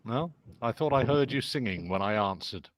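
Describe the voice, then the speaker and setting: A man tutoring English on an online call, explaining patiently and slowly. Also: medium pitch, animated, noisy background. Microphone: video call.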